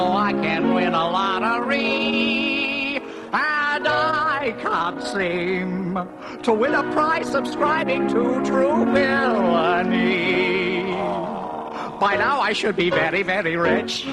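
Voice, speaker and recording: An actor playing a whiny, greedy, self-pitying bumbling villain in a children's musical audio storybook. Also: male voice, sits high, takes it slowly, over loud music, poor audio. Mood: greedy longing. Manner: theatrical, self-pitying, whining. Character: whiny, greedy, vain bumbling villain, grown mama's boy.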